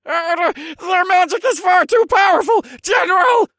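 A man's voice: measured pace, bright, forceful tone, no echo, clean studio sound, full character voice. A man voicing a small, cowardly elf soldier in a fantasy audiobook, crying out in a strained, quivering, frantic voice. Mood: panicked. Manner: frantic high-pitched crying out. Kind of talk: acted audiobook character dialogue.

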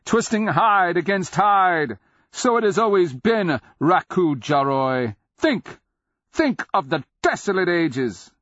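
A man voicing an ancient, half-mad nonhuman warrior king who taunts in loud, declamatory cries for a fantasy audiobook. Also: extremely slow, few pauses, dry recording, muffled recording, full character voice. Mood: mocking. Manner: high, shouted, declamatory taunting. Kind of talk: fantasy audiobook character acting.

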